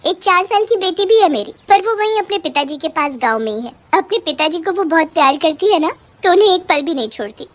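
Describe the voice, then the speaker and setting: A woman as a high-voiced, homely village witch. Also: lively intonation, brisk, few pauses. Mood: contented. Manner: earnest, chatty, proud of simple village life. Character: contented, homely village mother turned witch ghost.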